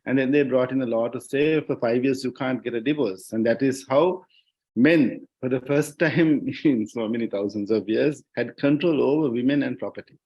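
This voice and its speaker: A man with a Sri Lankan accent talks in a panel discussion at a middling pitch. He is reflective and halting, often repeating a phrase while he looks for the next word. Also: warm tone, husky, lo-fi sound. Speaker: panelist, journalist and writer. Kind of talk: thoughtful discussion in an online panel. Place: online discussion call.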